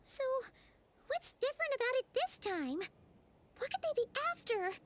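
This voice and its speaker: A woman voicing a tiny, childlike, chirpy fairy companion in an anime game, with a squeaky, perky delivery. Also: sing-song, moderate pace. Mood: curious. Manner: refers to self in third person, perky.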